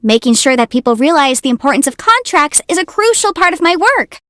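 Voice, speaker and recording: A woman voicing a young, quick-witted half-immortal legal adviser in a video game, articulate, self-assured and playful. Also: high voice, big pitch swings, fairly bright tone, no echo, studio quality. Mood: firm, earnest. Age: young adult. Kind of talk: video game character voice lines.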